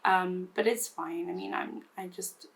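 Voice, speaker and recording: Woman, hoarse and gravelly from recent voice loss, casual rambling beauty vlogger. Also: fairly low pitch, fairly even intonation, a little slow, fairly bright tone. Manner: rambling, self-deprecating, many fillers and restarts. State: recovering from lost voice, hoarse. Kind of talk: casual get-ready-with-me chat.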